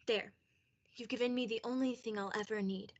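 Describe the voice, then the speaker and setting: A woman's voice: fairly high pitch, steady tone, a little fast, lo-fi sound. A young woman playing a devoted, anxious young wife in a period radio play, with an earnest, dramatic delivery. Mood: loving, insistent. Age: young adult.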